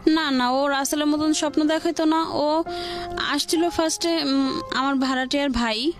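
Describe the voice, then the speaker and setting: A woman with a colloquial Bangladeshi accent telling her life story as an interview guest, in halting, broken-off phrases. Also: high voice, steady tone. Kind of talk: personal confession in interview. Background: music.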